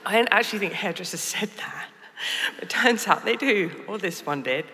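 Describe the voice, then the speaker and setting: A woman's voice: medium pitch, animated, brisk, pushed and bright, in a large hall. An English woman telling a true story on stage in a wry, candid, self-mocking way. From England. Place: theater stage.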